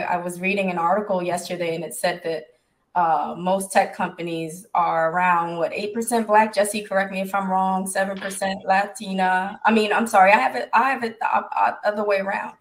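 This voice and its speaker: A woman's voice: mid-range voice, fairly even intonation. A woman who runs a tech business, talking earnestly as a panelist on a video call from home. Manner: earnest, measured, advocating.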